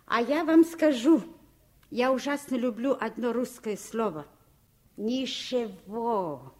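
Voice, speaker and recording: An actress playing a spirited, kind French governess with a French accent and theatrical, foreign-tinged phrasing in a radio drama. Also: female voice, a little high, animated, slow, a little mellow. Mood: playful resolve. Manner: ungrammatical foreigner's speech, theatrical. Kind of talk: Soviet radio drama acting.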